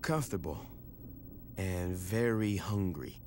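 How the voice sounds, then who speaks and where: Cocky street gang boss. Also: male voice, deep voice, animated, slow pace, a little mellow, a little hiss or hum. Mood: lazy, laid-back. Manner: brash, sarcastic, blunt. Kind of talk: game cutscene acting.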